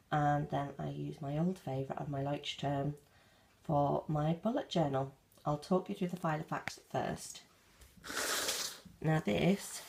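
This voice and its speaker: Casual woman vlogger, croaky morning voice, low and husky, phone-recorded walkthrough. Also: a little mellow. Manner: chatty, friendly, flips pages while explaining. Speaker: planner vlogger. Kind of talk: casual show-and-tell walkthrough. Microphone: handheld phone.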